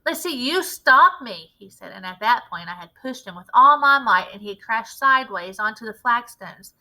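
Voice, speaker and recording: A woman reading a children's story aloud as an expressive storyteller. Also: medium pitch, normal speed, low-quality recording. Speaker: read-aloud storyteller, teacher.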